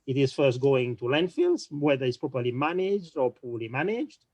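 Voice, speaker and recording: A man speaking on an online panel, talking quickly with frequent restarts and repeated words. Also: medium pitch, very animated, a little mellow, no echo.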